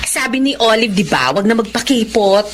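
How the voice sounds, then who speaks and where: A woman's voice: a little high, animated, moderate pace, slight background noise, lightly acted. A woman acting a coy, hopeful young woman in love in a Filipino radio drama, with a bubbly manner. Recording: crowd chatter behind the voice.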